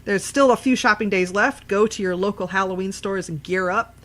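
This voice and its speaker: A woman's voice: mid-range voice, a little fast. Salty woman hosting a casual podcast. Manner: salty, swearing, emphatic. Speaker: horror podcast host.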